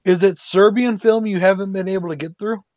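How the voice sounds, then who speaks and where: A man from Denver hosting a casual group chat with friends, talkative and quick to cut in with questions. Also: sits high, steady tone, darkish tone, no echo. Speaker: host.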